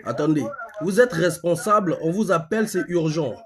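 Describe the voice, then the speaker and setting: A man from Congo with a Congolese accent and a husky voice, speaking insistently as a live-stream host, repeating phrases and asking rhetorical questions. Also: on the high side, lively intonation, normal speed. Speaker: online activist host. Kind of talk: live-stream monologue in colloquial speech, with a phone call.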